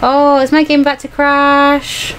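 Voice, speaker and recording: A woman's voice: sits high, completely flat delivery, measured pace, a bit bright, slight background noise. A woman reading a visual novel aloud as a let's play host, breaking off into playful, casual asides. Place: indoor room at home.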